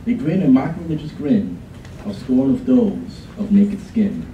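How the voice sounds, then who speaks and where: A young man giving a measured, deliberate dramatic poetry reading at a college contest podium. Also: mid-range voice, big pitch swings, mellow, dull audio, a little hiss or hum. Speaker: student contestant. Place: college event room. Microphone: podium mic. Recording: echoey room, far from mic.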